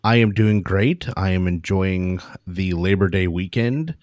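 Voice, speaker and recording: Podcast guy with a lowish voice, joking around, fake-grumpy, dry close mic. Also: a little slow, darkish tone, no echo. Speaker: podcast co-host. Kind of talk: casual chat.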